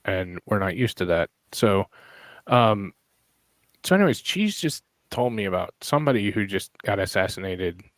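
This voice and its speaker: A man with a fairly low, hoarse voice, his throat worn out for the day. He chats casually and rambles, often pausing to search for a name. The sound is dry and close, with no room in it. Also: big pitch swings, no room sound. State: hoarse, lost voice. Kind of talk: casual online chat.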